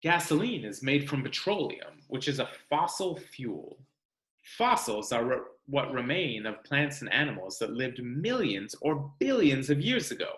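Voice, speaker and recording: A man reading a book aloud to children like a teacher, expressive and instructional, pausing to explain. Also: medium pitch. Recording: roomy, echoing sound.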